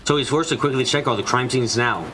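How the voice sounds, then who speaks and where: A man's voice: mid-range voice, fast, noisy background. A man reading dramatic scripted narration of a story, as in a fan dub of a visual novel. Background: background music.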